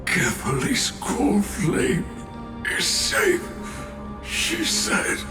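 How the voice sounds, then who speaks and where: An actor playing an aged, proud and weary master craftsman in a video game, speaking in halting, inverted phrases with a gravelly, breathy voice. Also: sits high, wildly swooping pitch, heavily acted. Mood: solemn, failing. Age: older. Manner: slow, halting, inverted word order. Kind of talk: English video game voice acting. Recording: echoey, loud music underneath. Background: loud game music.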